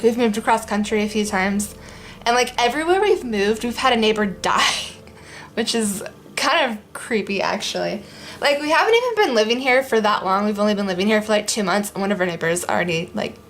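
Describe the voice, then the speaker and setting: A young woman talks loosely from her living room, her voice strained from losing it, with frequent ums and little laughs. Her pitch sits in a middling range, and soft music plays faintly behind her. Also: expressive, normal speed. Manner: rambling, many ums, laughs often. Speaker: art vlogger. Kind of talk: casual chat.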